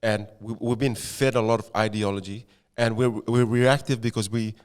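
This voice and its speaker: A man's voice: on the low side, fairly even intonation, normal speed, clean tone. A man co-hosting a casual conversation, giving opinions and stretching words for emphasis, often checking in with "right?". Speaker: podcast co-host.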